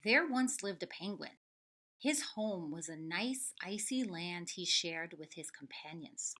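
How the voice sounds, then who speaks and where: A woman teacher reading aloud to young children, explaining things deliberately and speaking to them directly with expressive storybook delivery. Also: fairly low pitch.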